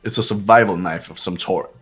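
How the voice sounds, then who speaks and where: Casting: man playing a dismissive, aristocratic young man for a game, lowish formal voice, faint music behind. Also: brisk, natural voice. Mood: cool, commanding. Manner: condescending, formal. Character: haughty, cool young man, commanding and dismissive. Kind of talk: voiced visual novel game playthrough.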